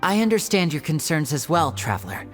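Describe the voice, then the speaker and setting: A low voiced earnest young character in a game, polite and measured, bit of music under. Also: female voice, sing-song. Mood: reassuring. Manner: formal, courteous, measured. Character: earnest, composed, loyal young person, polite and grateful. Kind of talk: video game voice acting.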